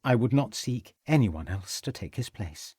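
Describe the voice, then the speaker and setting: A man's voice: lowish voice, lively intonation, normal speed, mellow, husky, no room sound, studio quality. A man doing a genteel grieving widow. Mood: firm.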